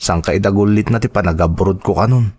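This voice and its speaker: An actor playing a troubled, reluctant young son who pleads, in an audio drama. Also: male voice, sits low, fast talker, a little mellow, slightly rough. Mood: troubled, apologetic.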